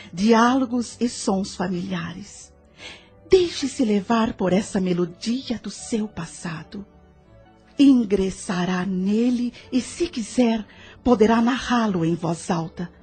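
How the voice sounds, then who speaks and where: A woman with a Brazilian accent playing a calm, kindly spirit guide in an audio drama, speaking patiently and instructively. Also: mid-range voice. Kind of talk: scripted audio drama dialogue.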